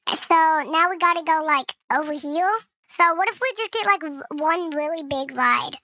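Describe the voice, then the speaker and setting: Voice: very high-pitched, normal speed, bright, forceful tone, no room sound. A young gamer giving casual gameplay commentary, with the voice run through a voice changer. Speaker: gaming video creator.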